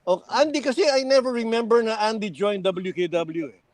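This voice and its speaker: Older filipino guy, high voice, dry sound, telling old stories in a lively chat. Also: fairly quick, no echo. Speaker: guest, former radio and record industry man. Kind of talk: casual reminiscing in a group chat.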